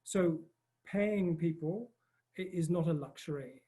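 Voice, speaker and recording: A man from northern Scotland speaks in a posh British accent, his voice slightly high for a man yet warm and mellow. He talks on a panel in a halting, self-deprecating way, full of ums. He is speaking from outdoors in a field. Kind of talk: online panel talk.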